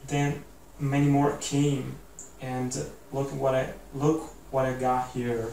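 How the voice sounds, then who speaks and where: A man's voice: medium pitch, completely flat delivery, takes it slowly, gravelly, mellow. A man in his twenties with an Italian accent, talking earnestly to the camera in a casual, rambling way while seated indoors. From Italy. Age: 20s. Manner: earnest, rambling with many "like"s and restarts. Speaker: vlogger. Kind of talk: casual motivational talk to camera. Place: indoor room, seated in armchair. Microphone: camera mic from a few feet away. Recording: roomy echo, distant mic, faint hiss.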